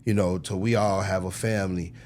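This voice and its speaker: A man's voice: low-pitched, steady tone, fairly quick, darkish tone, slight background noise. An American man being interviewed as a record executive, talking casually in slang with lots of conversational tags.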